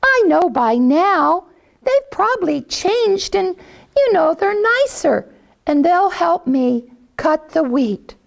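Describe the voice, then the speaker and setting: A woman's voice: extremely high pitch, sing-song, slow, vocal fry, pitched above the performer's own voice, heavily acted. A woman voicing a kind, hardworking mother hen in a children's story, with a sweet, coaxing manner. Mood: hopeful.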